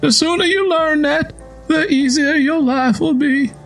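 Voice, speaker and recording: A man voicing a stern, weary father, a poor farmer lecturing in a folksy, drawn-out way. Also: very high-pitched, creaky, a bit bright, soft background music, voice pushed up, heavily acted. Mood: stern, resigned.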